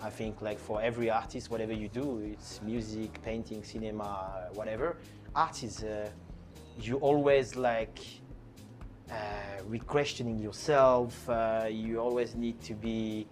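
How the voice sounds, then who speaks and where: A man's voice: on the low side, fairly even intonation, unhurried, a little mellow, faint music behind. A man from France with a French accent, a DJ chatting casually in an interview, with lots of fillers and restarts. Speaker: DJ and producer guest.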